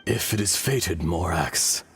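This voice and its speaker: An ancient male stone dragon in a game, speaking in a very deep, slow and measured voice. His manner is solemn and grave, like a being that has lived for ages. A score plays clearly behind him. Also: animated. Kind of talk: game voice acting. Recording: game audio with music behind.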